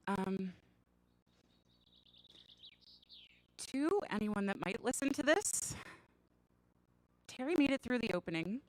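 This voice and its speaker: An actress playing an anxious, guilt-ridden young woman in a science fiction radio drama, halting and emotional. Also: mid-range voice, natural voice, rough recording. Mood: stunned, resigned. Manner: halting, emotional, stammering delivery.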